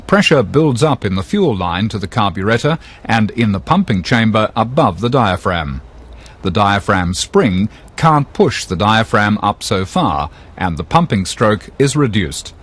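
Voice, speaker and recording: A man delivers scripted instructional narration in a slightly low, husky voice. His pitch moves in a lively way while his delivery stays measured and precise, explaining each point step by step. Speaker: narrator of an educational film.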